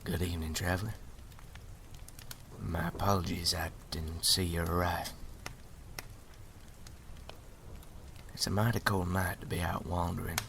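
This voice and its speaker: Folksy old-frontier story collector, played by a man: deep, heavily creaky, half-whispered and hospitable, for ASMR roleplay. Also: flat delivery, moderate pace, a bit bright, a little hiss or hum. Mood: welcoming, soft. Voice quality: gravelly, breathy half-whisper.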